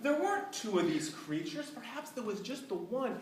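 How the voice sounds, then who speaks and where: A middle-aged speaker telling a tall tale to a live audience in a deliberate, mock-scholarly way with dramatic pauses. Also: mid-range voice, very animated, recorded from a distance. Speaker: speaker giving a humorous club speech. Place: indoor room with live audience. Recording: echoey room from afar, faint noise.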